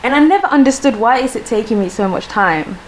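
A woman's voice: on the high side, lively intonation, fast, echoey room, background noise. A young woman whose first language is Swedish, chatting casually as a guest with lots of fillers and acted-out reactions. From Sweden. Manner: chatty, many fillers, acts out her own past reactions. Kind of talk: casual chat.

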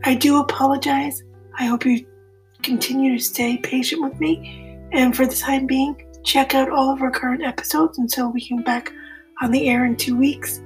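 Apologetic woman podcast host, narrow pitch. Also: steady tone, soft background music. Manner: apologetic, halting with restarts. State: sick, fighting a virus. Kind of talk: casual update to listeners.

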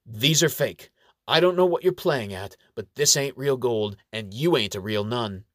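A man's voice: medium pitch, lively intonation, moderate pace, fairly bright tone, no room sound, clean studio sound, close to an everyday voice. A man voicing a suspicious male dwarf bank clerk with a blunt, probing manner in a fantasy audio story. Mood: accusing.

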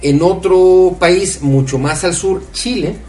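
A man's voice: high voice, slow pace, mellow, slight background noise. Guy co-hosting a radio show, long rambly questions, echoey room, kinda far from the mic. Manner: long winding questions with many fillers. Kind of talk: radio interview.

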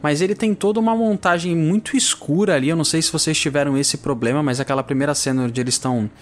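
A man's voice: a little high, fast. A man with a Brazilian accent hosting a film podcast, chatting casually and descriptively in colloquial, conversational speech. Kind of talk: casual film review discussion.

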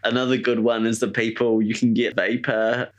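A young New Zealand man co-hosting a podcast, chatting casually in a somewhat monotone, rambling way with lots of fillers. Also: mid-range voice, normal speed, dull audio. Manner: laid-back, rambling with fillers like "like" and "eh". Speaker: podcast co-host. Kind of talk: casual chat.

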